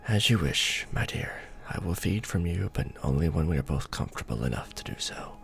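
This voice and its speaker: A man playing a courtly, doting vampire lord in an ASMR romance roleplay, speaking formally and deliberately with tender pauses. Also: deep voice, expressive, vocal fry, fairly bright tone, soft background music, exaggerated voice. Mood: tender, concerned.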